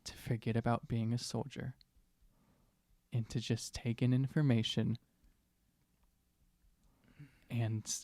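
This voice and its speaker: A man with an average-pitched voice plays a tabletop game, describing actions casually. His delivery is halting as he thinks aloud, and the sound is close and dry. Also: little pitch movement, warm tone, no echo. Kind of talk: casual improvised role-play talk.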